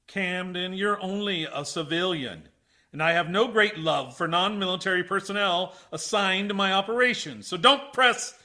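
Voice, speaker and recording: A man's voice: high-pitched, normal speed, bright, forceful tone. A man acting in a radio play as a stiff, prickly military base commander, stern, clipped and formal in speech. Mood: stern, indignant. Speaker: actor.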